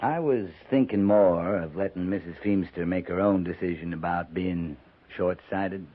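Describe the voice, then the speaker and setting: An American man playing a calm, wry army captain with a dry, courteous, needling manner in a 1950s radio drama. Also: deep voice, normal speed. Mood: polite, sly. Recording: tinny, narrow-band old radio recording.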